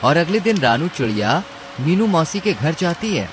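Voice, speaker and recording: A man's voice: fairly high pitch, very animated, normal speed, background noise. A man narrating a scripted cartoon story as its storyteller.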